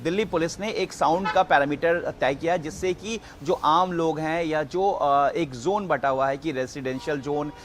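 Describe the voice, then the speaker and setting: A man giving an unscripted live news report, explaining in a halting, repetitive way. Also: a little high, a little hiss or hum.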